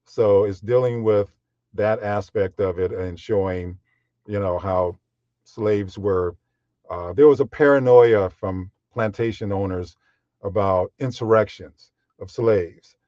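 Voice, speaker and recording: A man with a deep voice, a guest voiceover artist speaking in a measured, unhurried way with frequent fillers in a podcast interview. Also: a little mellow, no room sound, muffled recording.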